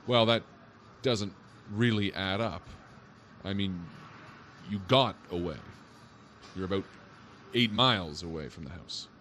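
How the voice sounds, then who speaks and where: A man's voice: low-pitched, a little slow, long pauses, a bit bright, slight background noise. An actor playing a skeptical male police officer who teases and jokes in a dry way. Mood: reasoning. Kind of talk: audio drama acting.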